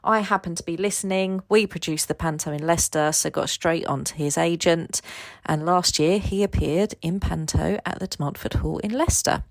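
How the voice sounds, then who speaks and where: A woman's voice: fairly low pitch, normal speed, no room sound. A woman giving an organized, informative answer as a casting professional. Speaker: head of celebrity casting. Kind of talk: explanatory answer.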